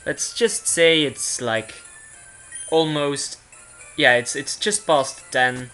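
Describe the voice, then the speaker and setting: Bright-voiced young man, rambling gamer. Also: a little high, slow pace, smooth, faint music behind. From Belgium. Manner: rambling, self-interrupting. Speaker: video game streamer. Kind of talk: casual let's play commentary.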